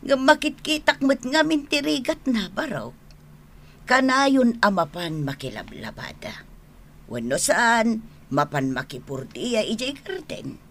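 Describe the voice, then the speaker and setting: Lamenting Ilocano mother, animated pitch. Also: unhurried, full character voice. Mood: plaintive reproach. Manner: plaintive, admonishing. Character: worried, lamenting mother pleading with her wayward grown son. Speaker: radio drama actress. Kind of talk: Philippine radio drama acting.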